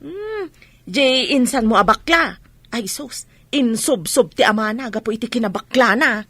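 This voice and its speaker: Lady acting village gossip big sister, kinda high voice, scoffing, radio drama style. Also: lively intonation, a little slow, a bit bright, breathy edge, dry recording, full character voice. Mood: gossipy, scoffing.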